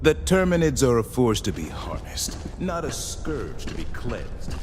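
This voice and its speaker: An actor playing a grandiose, fanatical servant of freedom in a video game, speaking in a solemn, pompous, declamatory way. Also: male voice, medium pitch, big pitch swings, a little slow, darkish tone. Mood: solemn, fervent. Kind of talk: theatrical video game character lines. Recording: reverberant hall, faint noise.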